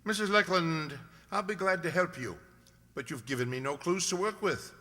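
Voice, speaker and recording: A man playing a composed, analytical gentleman detective, measured and polite, in an amateur radio drama. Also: on the high side. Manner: measured, polite, dryly authoritative. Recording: long hall-like reverb.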